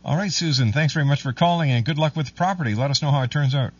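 A man hosting a call-in radio show, polite and brisk, calling callers dear. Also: on the high side, slightly rough. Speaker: radio host. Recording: slightly distant, dull radio sound.

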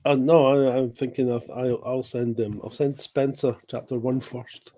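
A man's voice: medium pitch, fairly even intonation, moderate pace, warm tone. A man co-hosting a podcast, chatting casually, laughing often and swearing freely. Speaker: podcast co-host. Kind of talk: casual chat.

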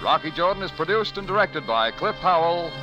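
A man's voice: fairly high pitch, lively intonation, a little fast, dull audio. Male 1940s network announcer: very varied intonation, scripted delivery, old broadcast sound with music behind. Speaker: radio announcer.

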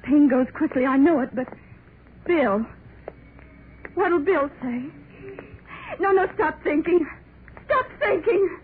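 A woman's voice: high voice, measured pace, long pauses, a little hiss or hum. An anxious young mother-to-be. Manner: fretful inner monologue. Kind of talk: 1940s radio acting. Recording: tinny old radio recording with music.

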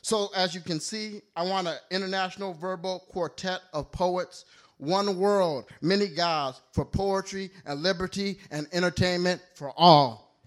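A man's voice: sits high, unhurried. A man performing a slam poem live, with a playful, rhyming, list-driven delivery. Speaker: slam poet. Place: live poetry slam venue.

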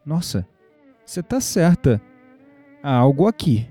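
An actor playing an intrigued, gently teasing young Brazilian man in an audio drama. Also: a little high, big pitch swings, measured pace, soft background music.

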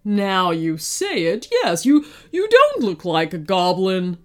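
Storybook dragon, played by a woman, huge and ill-tempered, snapping, with slow, heavily swooping delivery. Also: medium pitch, wildly swooping pitch. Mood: grudging, apologetic. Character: huge bitter dragon, gruff and wary of thieves. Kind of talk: children's storybook character voice.

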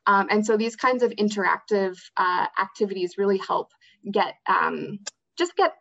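Muffled lo-fi audio, woman being interviewed and explaining stuff, average pitch. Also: gravelly. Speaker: interview guest, theatre education manager and actor. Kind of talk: interview conversation.

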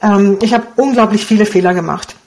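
A woman in her forties from Romania whose first language is Hungarian, a guest blogger and copywriter talking casually in an interview in a lively, cheeky way. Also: mid-range voice, brisk, a little hiss or hum. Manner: lively, cheeky, laughs often.

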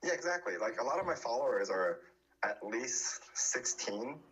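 A man's voice: medium pitch, big pitch swings, moderate pace, a bit bright, dull audio, a little hiss or hum. A man chatting casually as a podcast guest, often restarting his sentences. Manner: hesitant, many restarts and fillers.

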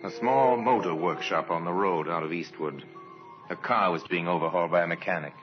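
A man narrating a 1950s radio crime drama in a measured, dramatic, portentous manner. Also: deep voice, natural voice. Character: grave male narrator of a crime case. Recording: muffled old radio recording, music behind.

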